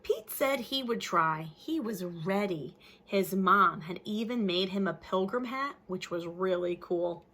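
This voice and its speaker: A woman reads a picture book aloud to young children in an expressive way, adding simple, friendly-worded explanations as a teacher would. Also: medium pitch, unhurried, hardly any pauses.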